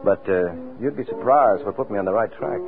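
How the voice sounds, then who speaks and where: An actor playing a quick-witted, self-assured, flirtatious American private detective in a 1940s radio drama, wry and smooth-talking. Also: male voice, lowish voice, close to an everyday voice. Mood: relaxed, flirtatious. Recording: tinny, muffled old radio recording, music.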